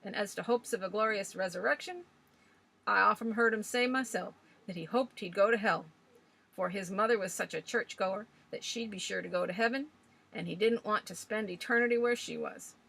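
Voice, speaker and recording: A woman reading aloud voices a cantankerous old rustic man who speaks loudly with scornful bluster and broken country grammar. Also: mid-range voice, normal speed, gravelly, husky, low-quality recording. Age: older.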